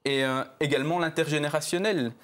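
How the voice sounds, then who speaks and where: A man's voice: fairly high pitch, steady tone, a little fast, a bit bright. A local election candidate in a televised debate who speaks earnestly and often restarts his phrases. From Italy. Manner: earnest, hesitant with repeated restarts.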